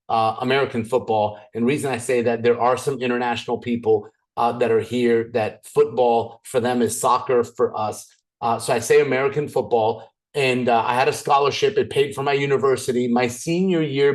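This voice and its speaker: A man from Canada, probably in his thirties, giving a chatty, joking business presentation over a video call. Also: mid-range voice. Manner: chatty and joking, goes off on tangents.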